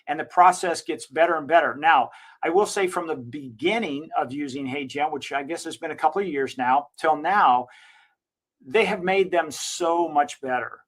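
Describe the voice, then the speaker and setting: A man who owns a digital marketing agency, giving an enthusiastic, rambling software walkthrough and correcting himself as he goes. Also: moderate pace. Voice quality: slightly higher-pitched voice. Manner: enthusiastic, recommending. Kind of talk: casual screen-share software walkthrough.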